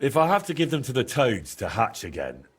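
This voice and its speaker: An actor playing a practical, sceptical young male adventurer in a video game, down-to-earth, with a husky voice. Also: mid-range voice, huge pitch swings, fairly quick. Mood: incredulous. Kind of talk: video game character dialogue.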